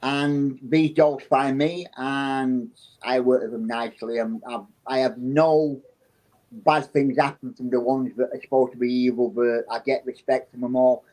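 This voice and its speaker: A man's voice: mid-range voice, fairly even intonation, unhurried, a little mellow, low-quality recording. A man from England with a South Yorkshire accent, a psychic guest telling rambling anecdotes in a casual interview, often restarting his sentences.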